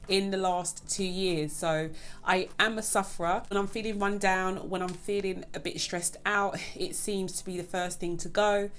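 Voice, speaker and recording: A woman's voice: mid-range voice, fairly even intonation, normal speed, fairly bright tone, a little hiss or hum. A woman hosting her own budgeting channel, talking casually and chattily to her viewers. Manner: chatty, keeps addressing viewers as "guys". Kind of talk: casual vlog chat.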